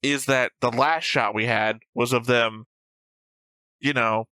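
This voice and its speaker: A man's voice: mid-range voice, steady tone, slow pace, pushed and bright, clear, resonant tone, dry recording. A man giving casual, analytical reaction commentary as a show host, picking at details. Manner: analytical, nitpicking.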